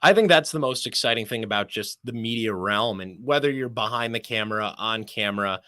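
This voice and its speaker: A man with a fairly low, bright and forceful voice talks as a guest on a sports show. He is a chatty storyteller who rolls from one anecdote to the next in casual, announcer-like fluency. The recording is dry and close. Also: fairly quick, no echo. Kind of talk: casual anecdotal interview talk.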